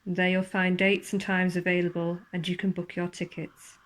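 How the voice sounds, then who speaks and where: A woman narrates a ghost story in a theatrical, suspenseful manner, with deliberate pauses and formal, old-fashioned wording. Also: on the low side, completely flat delivery, rough recording. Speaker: ghost walk guide and storyteller. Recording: clear background ambience under the voice. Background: spooky horror ambience sounds.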